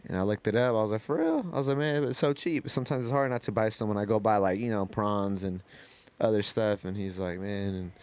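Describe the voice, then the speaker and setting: Smooth low-voiced man chatting casually. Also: fairly quick, darkish tone. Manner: rambling, slangy, frequent "you know" and "man". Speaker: podcast co-host. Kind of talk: casual podcast chat.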